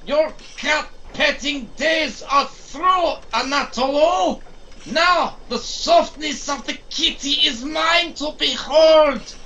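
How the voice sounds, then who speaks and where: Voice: high voice, slow pace, pushed and bright, slight background noise, exaggerated voice, low-quality recording. An actor playing a bombastic, furious robot dictator in a comedic audio drama, ranting grandly. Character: bombastic, jealous, furious robot dictator obsessed with petting a kitty.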